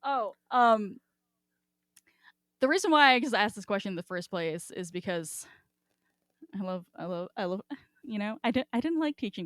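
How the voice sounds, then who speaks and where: A woman's voice: mid-range voice, no room sound, clean studio sound. A woman hosting a casual book discussion, talking in a rambling, informal way and swearing freely. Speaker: podcast host.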